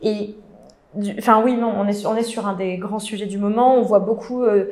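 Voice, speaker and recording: A woman's voice: medium pitch, steady tone, moderate pace, darkish tone. A woman speaking on a design panel, sharing firm opinions with frequent hesitations and restarts. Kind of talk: casual panel discussion.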